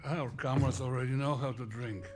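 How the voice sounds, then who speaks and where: An actor playing a gentle, protective older Russian father in a video game cutscene, speaking with a Russian accent. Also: mid-range voice, steady tone, moderate pace, background noise, close to an everyday voice. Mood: wry, fatherly.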